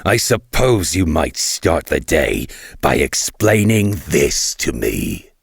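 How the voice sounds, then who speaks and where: Imperious audiobook lord, super deep n raspy, drags words out, coldly threatening. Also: male voice, big pitch swings, dry recording, clean studio sound, full character voice. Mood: cold displeasure. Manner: slow, deliberate, lordly. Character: imperious, coolly menacing male lord and master. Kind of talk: dramatized audiobook character acting.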